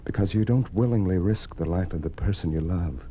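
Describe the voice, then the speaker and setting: An actor playing a brave, brooding political candidate in a 1950s radio melodrama, earnest and dramatic in delivery. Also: male voice, low-pitched, brisk, close to an everyday voice. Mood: urgent, resolute. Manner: earnest, dramatic, swinging from hushed to urgent. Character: brave, brooding male senate candidate marked for death. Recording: faintly noisy old radio recording.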